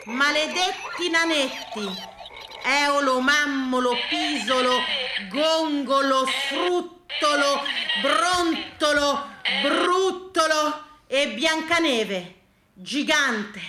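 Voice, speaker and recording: A woman's voice: high-pitched, expressive, very slow, background noise. A woman delivers a theatrical comic monologue in a satirical, emphatic way, stringing words into incantatory, repeated lists. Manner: satirical, exclamatory. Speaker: solo stage actress. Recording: roomy, echoing stage sound.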